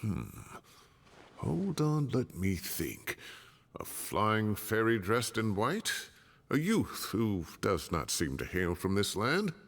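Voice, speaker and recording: A man's voice: fairly low pitch, very animated, takes it slowly, heavily acted. A man voicing a kindly retired old professor who grumbles indignantly in a genteel way. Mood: genial. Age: older. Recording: game audio with long reverb.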